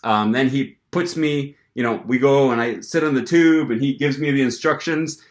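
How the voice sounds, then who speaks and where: Young man, mid-pitch voice, casual vlog storytelling, animated, fillers and restarts. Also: normal speed, a little hiss or hum. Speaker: language-learning vlogger.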